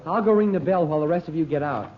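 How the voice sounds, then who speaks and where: A man's voice: on the high side, rapid-fire, natural voice. A male actor playing a helpful, breezy teenage boy in an old radio drama. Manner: breezy, eager. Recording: dull old radio recording, faint music.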